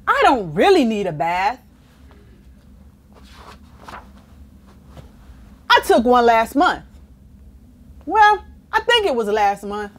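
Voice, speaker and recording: A woman's voice: on the high side, sing-song, a little slow. A woman voicing a stubborn, dramatic, cheeky storybook pigeon with exaggerated, whiny delivery. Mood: defensive. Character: stubborn, dramatic, cheeky male pigeon who hates baths. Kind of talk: children's storybook character reading.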